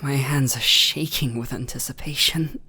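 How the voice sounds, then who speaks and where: A man with a British accent playing a tender, conflicted vampire lord in love, in an intimate, breathy ASMR roleplay with formal, halting phrasing. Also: mid-range voice, steady tone, sharp tone, exaggerated voice. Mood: yielding, hungry. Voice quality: breathy, often near a whisper.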